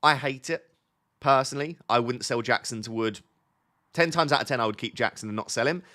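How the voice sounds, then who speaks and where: A man's voice: mid-range voice, brisk, pushed and bright. A man hosting a casual live stream, answering viewer chat. He has a slight cold and sounds a little sniffly, thinking aloud and often restarting his sentences.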